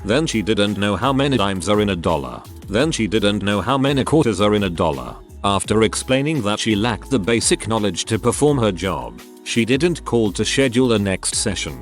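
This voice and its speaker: Low-voiced man reading stories aloud. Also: lively intonation, moderate pace, few pauses. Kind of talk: scripted narration of reader stories. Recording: faint music bed.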